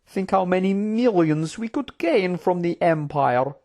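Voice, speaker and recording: Narrator putting on a smooth-tongued scheming fantasy courtier, high-pitched. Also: expressive, unhurried, dark tone. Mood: persuasive, impatient. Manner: unctuous, formal, wordy.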